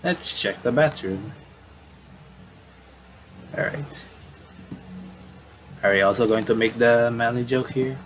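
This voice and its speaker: A man doing casual game commentary, reading on-screen dialogue aloud and adding halting asides. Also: medium pitch, fairly bright tone, slight background noise, rough recording. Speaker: gamer doing playthrough commentary.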